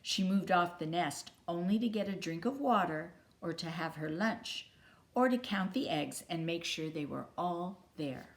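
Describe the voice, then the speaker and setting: Lower-voiced woman reading a children's story. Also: expressive, unhurried. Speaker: storybook reader. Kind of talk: read-aloud children's story narration.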